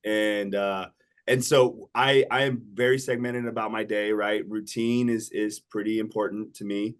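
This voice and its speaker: Guest, male, forties, low-ish voice, calm and confident. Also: measured pace. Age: 40s. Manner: steady, self-assured, tag questions. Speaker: podcast guest, entrepreneur. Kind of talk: casual interview talk.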